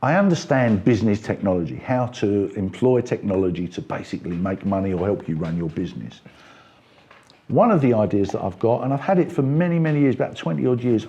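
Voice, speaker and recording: A man's voice: fairly low pitch, lively intonation, brisk, dark tone, lo-fi sound. An older man from England, talking at length in a casual interview, with many restarts and fillers. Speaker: interviewee, party member.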